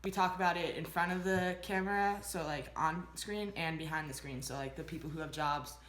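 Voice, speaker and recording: A woman's voice: low-pitched, fairly quick, fairly bright tone. A young woman from Boston, a film student, chatting casually in an interview and saying "like" often. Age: young adult. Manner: chatty, leans on "like" a lot. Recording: roomy echo.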